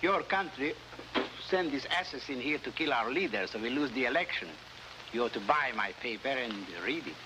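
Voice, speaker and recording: A man's voice: mid-range voice, animated, moderate pace, close to an everyday voice. Man acting smug scheming newspaper boss, noisy old recording. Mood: accusing, insistent. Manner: theatrical, oily, mock-courteous. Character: smug, scheming Latin American newspaper publisher, grandiose and menacing. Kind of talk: early 1950s TV adventure acting. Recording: noisy old 1950s television soundtrack.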